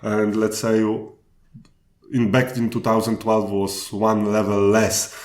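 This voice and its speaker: A man with a Polish accent answering interview questions in unhurried, halting English, starting sentences over now and then. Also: fairly low pitch, flat delivery, a little mellow, echoey room. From Poland. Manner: slow, halting answers with restarts. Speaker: guest speaker. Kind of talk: podcast interview.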